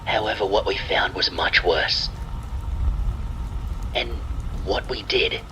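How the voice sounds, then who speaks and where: A man's voice: low-pitched, slow pace, slight background noise, low-quality recording. A man reading a scripted first-person horror story, with rain and thunder sounds behind his voice. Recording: faint steady rain behind the voice. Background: rain and thunder sound effects.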